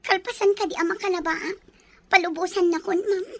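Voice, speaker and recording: A woman's voice: extremely high pitch, fairly even intonation, a little fast, noisy background. A woman playing a frightened, submissive young housemaid pleading in an audio drama.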